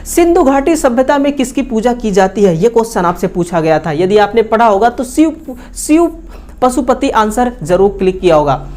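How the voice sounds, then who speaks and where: A woman's voice: on the high side, animated, moderate pace, a little mellow. A woman with an Indian accent teaching an exam-review lesson, explaining instructively with repeated tag questions and repeated answers.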